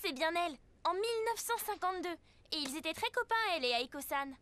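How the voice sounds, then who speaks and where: A woman's voice: very high-pitched, animated, fast talker. A woman playing a clever young ninja schoolgirl in a cartoon dub, explaining things in a knowing way. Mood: confiding. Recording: loud music behind the voice.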